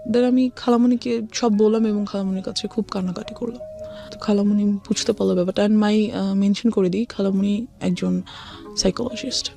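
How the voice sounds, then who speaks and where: Bangladeshi woman telling her life story, mid pitch, keeps switching into english, soft music behind. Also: fairly even intonation, moderate pace, gravelly. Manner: frequently switches between Bengali and English. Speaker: interview guest. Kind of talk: personal storytelling in conversation.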